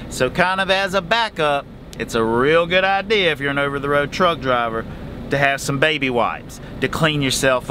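A man's voice: mid-range voice, background noise. A man, giving folksy casual advice as a truck driver vlogger from his sleeper cab. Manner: chatty, folksy, animated hand gestures. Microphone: camera in front at close range.

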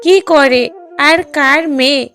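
A woman's voice: high-pitched, fairly even intonation, a little slow, a bit bright. A woman playing a middle-aged village mother in a cartoon drama, coaxing in a fretful, affectionate way. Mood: agreeable, inquisitive.

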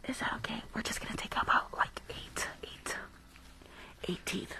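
A woman whispering close to the mic in an ASMR roleplay as a rude dentist, halting and repeating herself with dismissive reassurance. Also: low-quality recording. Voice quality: breathy whisper with creaky edges.

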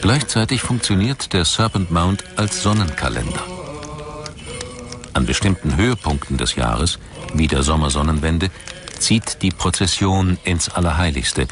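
Very deep-voiced male documentary narrator. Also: lively intonation, unhurried, background noise.

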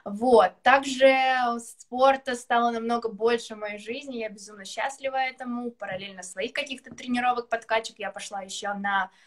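A woman in her twenties chatting casually on a video call from a room at home, laughing often and talking with animated gestures. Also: a little high, moderate pace, hardly any pauses. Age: 20s. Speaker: guest singer. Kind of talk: casual live-stream chat. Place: indoor room with curtains.

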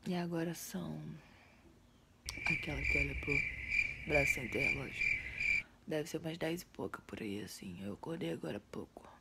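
A young Brazilian woman vlogging from her bedroom, her voice hoarse from a cold and rough with vocal fry. She talks in a relaxed, chatty way, drawing out words and slipping into a breathy half-whisper, close to a phone held at arm's length. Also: low voice, moderate pace, pauses often, very noisy. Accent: Brazilian Portuguese. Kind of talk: casual vlog chat to camera. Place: small bedroom indoors. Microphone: phone or webcam at arm's length.

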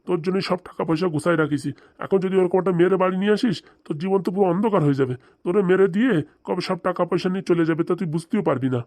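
Guy doing a strict cartoon dad, talks really fast, kinda high for a man, hectoring. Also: a little mellow, no room sound. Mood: angry, scolding. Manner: hectoring, rhetorical questions, stern. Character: angry scolding father of a grown son. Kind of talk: comic cartoon dialogue.

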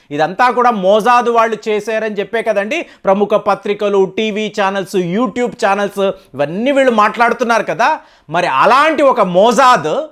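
News analysis host, medium pitch, emphatic explainer, poses rhetorical questions to the viewer. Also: a bit bright. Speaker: news commentary channel host.

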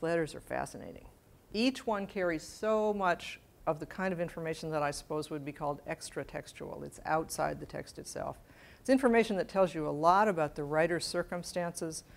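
An older woman giving a public lecture, reading letters aloud in a measured, precise way and adding informal asides. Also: sits low, vocal fry. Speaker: guest lecturer. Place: medium-sized library meeting room with seated audience. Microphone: lapel mic.

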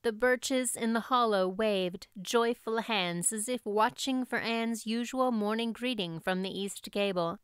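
Clear, higher-voiced woman narrating a storybook. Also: measured pace, few pauses, no room sound, studio quality. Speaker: audiobook narrator.

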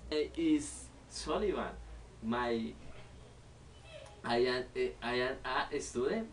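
A young man from Colombia in his twenties, speaking English with a Colombian Spanish accent as a learner and reciting a self-introduction word by word with pauses. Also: medium pitch, lively intonation, distant mic, a little hiss or hum. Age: 20s. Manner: halting, word-by-word delivery with pauses. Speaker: student. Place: small living room at home. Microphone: camera in front of the speaker.

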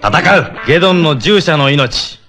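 An earnest young man raised in the jungle, the hero of a 1970s tokusatsu series. His voice is bright and forceful, with highly animated pitch. He talks in terse, halting fragments with dramatic intensity. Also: heavily acted. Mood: urgent, forceful. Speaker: actor in a superhero TV series.

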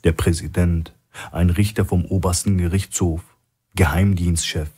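Guy playing a big blunt sceptical operative in a thriller audiobook, husky voice. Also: sits low, steady tone, a little slow, warm tone. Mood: blunt, wary.

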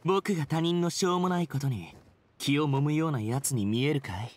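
A man's voice: on the high side, expressive, fairly quick, a little hiss or hum, natural voice. Man playing an aloof, sardonic young wanderer. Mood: irritated. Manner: cool, curt, scornful. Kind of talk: Japanese game voice acting.

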